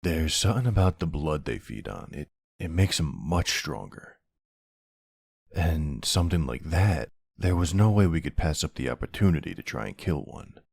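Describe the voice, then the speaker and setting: Brooding ancient vampire hunter, played by a man, gravelly and slow, close dry sound, deliberate ASMR roleplay delivery. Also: rumbling low, a little mellow, dry recording, heavily acted. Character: brooding centuries-old male vampire who hunts vampires. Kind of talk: ASMR audio roleplay, scripted dramatic monologue.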